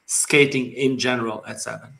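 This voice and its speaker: This is a man in his forties whose first language is Portuguese, and it shows in his accent as he hosts a relaxed interview. His voice sits at a middle pitch, and he comes across as animated and quick to laugh. He speaks through a headset on a video call. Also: gravelly, low-quality recording. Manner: animated, laughing, gestures a lot. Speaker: host. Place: dim home room.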